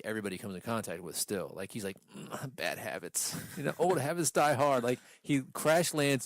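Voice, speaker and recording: A man with a mid-range voice rants casually like a podcast host. He swears freely and tells things in an animated, exasperated way. The sound is dry, with very little room to it. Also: medium pitch, no echo. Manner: profane, exasperated, animated storytelling. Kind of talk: casual movie rant chat.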